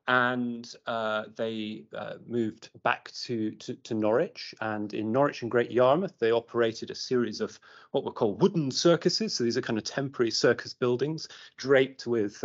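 A British man giving an informal academic presentation, speaking haltingly and often repeating his words. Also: mid-range voice. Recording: muffled lo-fi online meeting audio.

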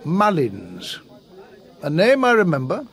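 An actor playing a haughty, sceptical titled racing official in a radio drama, pondering and disdainful. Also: male voice, on the high side, wildly swooping pitch, slow, creaky, a bit bright, exaggerated voice.

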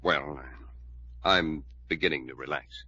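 An actor in old radio drama playing a bitter, bossy man with a gruff, threatening manner. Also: rumbling low, a little slow, bright, forceful tone, dry recording, exaggerated voice. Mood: coldly menacing. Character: bitter, menacing, bossy man nursing a grudge. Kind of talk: 1940s-50s radio drama acting. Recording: muffled old radio broadcast recording.

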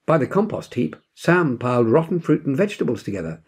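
A man in his seventies reading a children's storybook aloud with expressive narration. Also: medium pitch, quick pace, slightly rough. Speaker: storybook reader. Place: indoor room at home.

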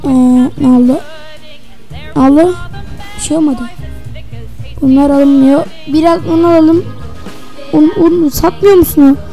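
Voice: sits high, unhurried, pauses often, dark tone, soft background music. A gaming video host giving casual, chatty commentary, often repeating words over and over. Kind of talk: casual let's-play commentary.

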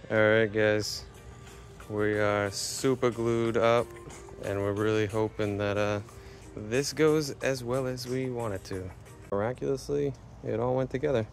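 A man casually talking through a carpentry build as he works, chatty and relaxed. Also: fairly low pitch, unhurried, vocal fry, faint music behind. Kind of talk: casual build vlog narration. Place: workshop and home interior.

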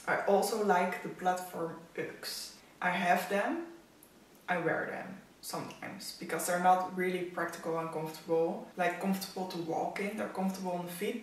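A woman's voice: on the low side, slight background noise. A young woman with a Dutch accent, a native Dutch speaker, chatting casually to camera as a fashion vlogger in her living room. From Netherlands. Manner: chatty, opinionated about outfits. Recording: echoey room, mic at a distance.

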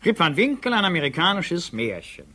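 An actor playing a brisk, ironic public prosecutor with an authoritative, urbane manner in a radio drama. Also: male voice, sits high, sing-song, bright, forceful tone, exaggerated voice. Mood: dictating.

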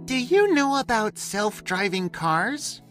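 Slow, very animated woman teaching simply. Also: on the high side, faint music behind. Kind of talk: scripted English-lesson dialogue.

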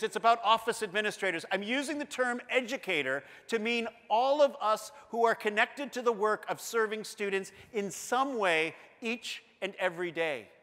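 A man in his fifties with a Canadian accent delivers an earnest, emphatic keynote, pacing the stage on a lapel mic. His voice sits high and sounds bright and forceful. A long hall reverb trails behind each phrase. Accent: Canadian English. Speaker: school board director giving a keynote. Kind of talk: conference keynote speech. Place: large conference hall.

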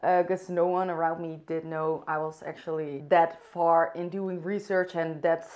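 A young woman from the Netherlands with a Dutch accent, speaking English as a second language in a soft, thoughtful personal vlog, pausing to search for words. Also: lowish voice. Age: young adult. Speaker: vlogger. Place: bright room indoors by a window.

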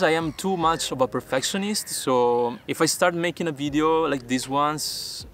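A man's voice: mid-range voice, a bit bright, a little hiss or hum. A young man from Peru, a native Spanish speaker, answering viewer questions in careful, earnest English while sitting outdoors by the water. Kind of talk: casual vlog Q&A.

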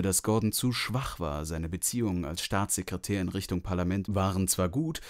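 Low-voiced male audiobook narrator. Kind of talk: scripted narration of a novel.

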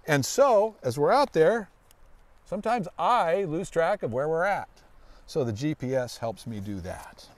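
Middle-aged man, lively swooping pitch, folksy. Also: unhurried. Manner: folksy, explanatory, asks the listener questions. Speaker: search dog handler and guest presenter. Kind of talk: casual educational demonstration for children. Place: outdoors in a grassy forest clearing.

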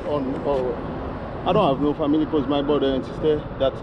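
A man in his thirties with a Haitian accent, answering haltingly and evasively in limited English, often repeating words. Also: on the high side, level tone, highs cut off. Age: 30s. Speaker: man being confronted. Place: large open parking lot at night. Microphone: another person's camera, a few steps away. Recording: loud outdoor noise, off-mic camera audio.

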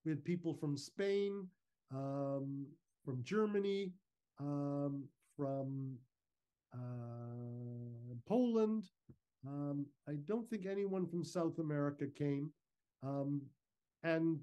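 A man giving an informal, measured, explanatory slide talk over a video call. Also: fairly high pitch, warm tone, smooth. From Canada. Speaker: guest speaker, historical reenactor. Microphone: video call microphone.

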